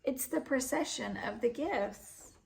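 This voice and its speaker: Woman as a higher-pitched helpful townswoman. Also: slow, vocal fry, darkish tone, a little hiss or hum, exaggerated voice, poor audio. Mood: informative surprise. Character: helpful townswoman explaining to an old stranger.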